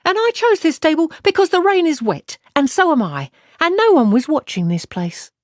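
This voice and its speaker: A woman reading a story, voicing a sharp-tongued woman fugitive with an odd foreign way of speaking, scornful and shrill. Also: sing-song, normal speed, no echo, clean studio sound. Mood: tart.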